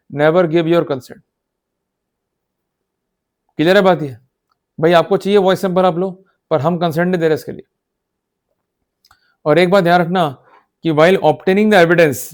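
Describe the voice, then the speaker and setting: A man lecturing in an explanatory style, emphatic and exhortative, often checking that his listeners are following. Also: fairly high pitch. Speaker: law lecturer. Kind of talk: explanatory lecture mixing Hindi with English legal terms.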